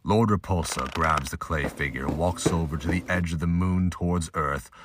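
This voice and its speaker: A man's voice: deep voice, moderate pace. A man giving scripted audiobook-style narration of a fantasy audio drama. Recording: noisy amateur home recording, clicks.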